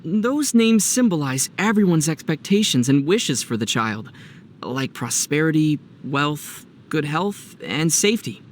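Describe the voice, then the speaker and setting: Lively, teasing young hero. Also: male voice, fairly high pitch, unhurried, slight background noise. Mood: calm explaining. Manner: dry, teasing, playful. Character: young male traveler hero, calm and teasing. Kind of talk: video game voice acting.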